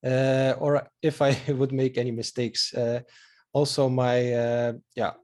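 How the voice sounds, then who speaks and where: A man's voice: mid-range voice, level tone, a little slow, darkish tone. A man in his thirties from Belgium, a native Dutch speaker with a Belgian Dutch accent, giving an online presentation as a photographer in a hesitant, earnest way with many filler sounds. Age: 30s.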